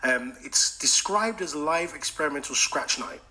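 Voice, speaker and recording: A male radio host with a very bright, sharp tone and a slightly higher voice runs a casual studio interview. He teases his guest with quick jokes and keeps the chat light. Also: lively intonation. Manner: jokey, teasing.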